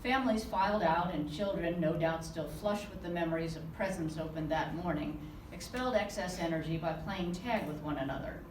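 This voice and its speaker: A woman author reading aloud from her novel to a live audience, giving the dialogue a lively touch. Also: lowish voice, fairly quick, few pauses. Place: conference room. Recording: roomy, distant with background noise.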